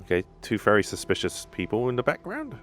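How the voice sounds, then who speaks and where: A woman's voice: rumbling low, huge pitch swings, fairly quick, faint music behind. A woman giving casual let's-play commentary as a gaming streamer.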